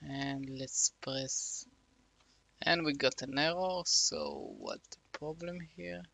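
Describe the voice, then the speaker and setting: A man slowly talking through a coding tutorial, thinking aloud with many fillers. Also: medium pitch, big pitch swings, vocal fry, sharp tone, no room sound. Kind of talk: casual screen-recorded coding tutorial.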